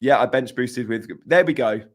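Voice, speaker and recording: Chatty croaky-voiced young man streaming. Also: medium pitch, moderate pace. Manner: chatty, emphatic, repeats points. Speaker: fantasy football streamer. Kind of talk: live-stream chat reading comments.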